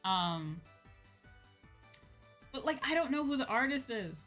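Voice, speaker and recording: A woman streamer chatting casually with her viewers in an animated, informal way, often checking in as she goes. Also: fairly high pitch, a little slow. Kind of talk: casual livestream chat. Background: background music.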